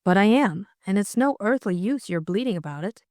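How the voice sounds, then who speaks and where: A woman's voice: mid-range voice, lively intonation, fairly quick, darkish tone, no room sound, studio quality, lightly acted. A woman voicing a spirited upper-class young woman in an audiobook drama, direct and no-nonsense. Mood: brisk, impatient.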